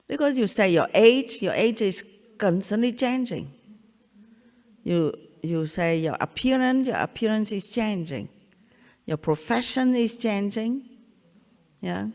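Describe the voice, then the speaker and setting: Older Vietnamese-accented woman, spiritual teacher, halting and repetitive, long reverb of a large hall. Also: mid-range voice, animated, darkish tone. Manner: halting, repeats phrases, checks in with "yeah?". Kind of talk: satsang talk.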